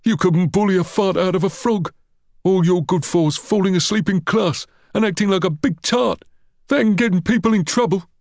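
A man's voice: high-pitched, moderate pace, darkish tone, no echo, clean studio sound, voice pushed up. A man voicing a menacing teenage school bully in a storybook, with taunting, jeering delivery. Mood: mocking. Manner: taunting, growling jeers.

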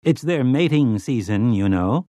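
A man's voice: mid-range voice, measured pace, dry recording, clean studio sound, pitched above the performer's own voice, natural voice. Man voicing a prissy, complacent robot servant. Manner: prissy, smugly solicitous.

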